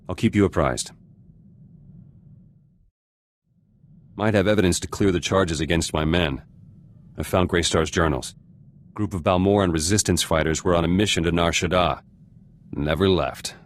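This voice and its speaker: A man performs a very deep-voiced, gruff resistance fighter from a video game. He is guarded and cynical, biting off terse, blunt phrases. A faint layer of ambient noise sits behind him. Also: normal speed, lightly acted. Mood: resolute, curt. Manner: clipped, terse fragments, blunt. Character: gruff, guarded revolutionary fighter turned politician, curt and cynical. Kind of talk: video game character dialogue.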